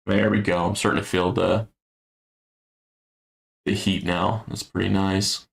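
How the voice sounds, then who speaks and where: A man giving casual gameplay commentary on a stream, thinking aloud in a low-key, musing way with a gravelly voice. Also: little pitch movement, measured pace. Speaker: game streamer.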